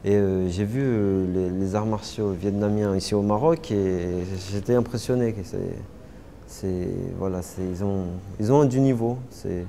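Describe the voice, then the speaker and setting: A young man, answering interview questions casually, halting often with fillers and restarts. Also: fairly low pitch, warm tone, a little hiss or hum. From Paris suburbs, France. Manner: hesitant, many fillers and restarts. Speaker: interviewee, martial artist.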